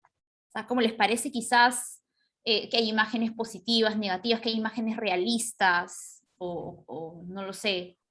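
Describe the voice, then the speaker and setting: A woman's voice: mid-range voice, fairly even intonation, unhurried, fairly bright tone. A woman moderating a casual panel discussion, forming her questions tentatively and repeating words as she goes.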